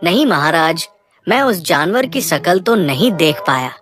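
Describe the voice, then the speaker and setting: A woman's voice: on the low side, big pitch swings, unhurried, soft background music. A woman voicing a respectful, obliging male boar who serves as minister to a jungle king in a cartoon. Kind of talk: Hindi cartoon moral story acting.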